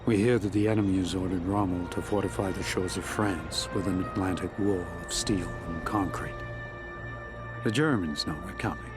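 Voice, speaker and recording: A weary American paratrooper sergeant played by a man narrates his wartime journal in a low, grave voice. He sounds measured and resolute, in the manner of a World War II game cutscene, with loud music underneath. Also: lightly acted. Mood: grave, determined. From North Dakota, United States. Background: prominent music.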